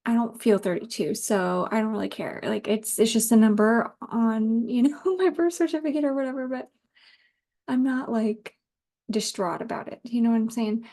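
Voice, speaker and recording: A woman's voice: a little high, normal speed, mellow. A woman in her thirties hosting a casual solo podcast, talking in an informal, rambling way. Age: 30s. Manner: informal, rambling, laughs easily, occasional swearing. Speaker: podcast host.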